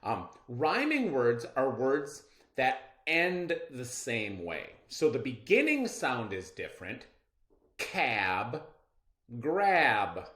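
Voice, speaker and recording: Male teacher for children's read-aloud lesson. Slow, highly varied intonation, clear instructive emphasis, echoey room sound. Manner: expressive, instructive, emphatic phrasing. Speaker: elementary reading teacher.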